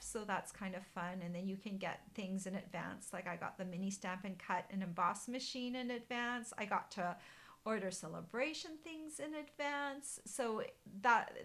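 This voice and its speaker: A middle-aged woman, German her first language, chatting to viewers in a casual live stream from a bright living room, with many ums and restarts. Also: medium pitch, creaky. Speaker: papercraft demonstrator hosting a live stream.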